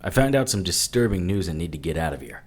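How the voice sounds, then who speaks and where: An actor playing a world-weary occult detective who narrates tersely and seriously. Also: male voice, sits low, very animated, quick pace, long reverb tail. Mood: stern.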